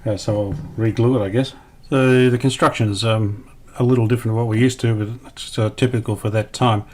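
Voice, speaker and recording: Male restorer, lowish voice, casual how-to commentary, tentative thinking-aloud delivery. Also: fairly even intonation, a little fast, a little mellow. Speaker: radio restorer presenting a repair series.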